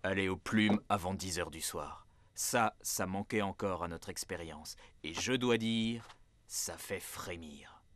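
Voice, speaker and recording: An actor playing a hard-boiled tough detective, narrating deadpan in film-noir style for a French cartoon. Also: male voice, sits low, a little slow, no room sound. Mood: brooding, dry. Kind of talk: French cartoon dub acting. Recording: loud music underneath.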